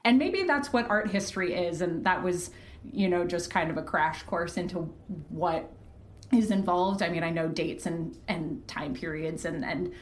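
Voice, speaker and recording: A woman chatting casually as an interview guest, animated and self-deprecating. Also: on the low side, moderate pace. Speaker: podcast guest, fiction podcast creator and host. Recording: echoey room, faint background noise.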